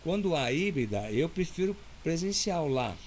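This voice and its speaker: A man with a Brazilian Portuguese accent, a lawyer who is the guest, explaining in a relaxed, didactic way and checking in with "né?" often. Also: a little high, normal speed, a little hiss or hum. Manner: didactic, explains step by step with many "né?". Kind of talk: casual interview talk.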